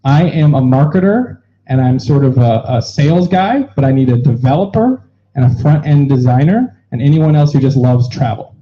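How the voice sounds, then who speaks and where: A man's voice: mid-range voice, animated, warm tone. A man facilitating an event, explaining the rules to an audience in an upbeat, joking way. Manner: upbeat, joking, quick asides. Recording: dull lo-fi sound.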